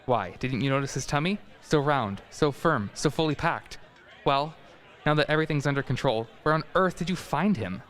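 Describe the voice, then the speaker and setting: A man's voice: a little high, lively intonation, moderate pace, fairly bright tone. A man acting an effusive, gushing department store public relations man in a student radio play.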